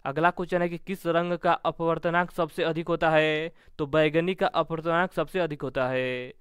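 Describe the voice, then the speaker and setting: A man's voice: on the high side, steady tone, normal speed, a bit bright, clear, resonant tone, no echo, studio quality. A man teaching an exam revision lesson, methodically reading out each question and then giving its answer.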